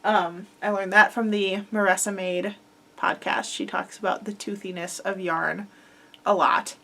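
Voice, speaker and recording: A woman's voice: mid-range voice, steady tone, unhurried, creaky, pushed and bright. A young woman hosting a crochet podcast, talking casually and rambling with lots of fillers, her voice a little congested from a head cold. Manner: chatty, rambling, filler-heavy with frequent likes and ums. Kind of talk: casual chat.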